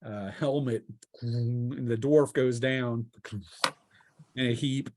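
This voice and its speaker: A man's voice: mid-range voice, fairly even intonation, slow, background noise. A man acting as game master, refereeing a tabletop game and narrating the action in a casual, improvised way with vivid touches.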